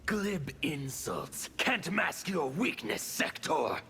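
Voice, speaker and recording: An actor playing a fanatical, bitter anarchist warlord in a video game, with a gravelly voice and a theatrical, sneering delivery. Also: male voice, a little high, sing-song, slow pace, bright, forceful tone, slight background noise, exaggerated voice. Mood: menacing. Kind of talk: video game villain dialogue.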